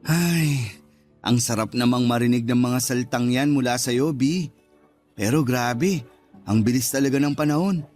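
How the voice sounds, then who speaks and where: A man's voice: medium pitch, moderate pace, darkish tone, faint music behind, close to an everyday voice. A man acting as a devoted, earnest boyfriend in a Tagalog radio drama. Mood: fond.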